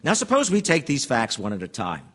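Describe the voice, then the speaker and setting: A man's voice: medium pitch, wildly swooping pitch, fairly quick, a bit bright. A man playing a methodical, self-assured, formal juror in an audio theatre drama, speaking precisely. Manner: precise, orderly.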